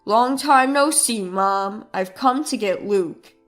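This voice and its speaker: A woman's voice: mid-range voice, slow pace, fairly bright tone, soft background music. A woman voicing a brash, opportunistic grown son in storybook dialogue. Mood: brazen.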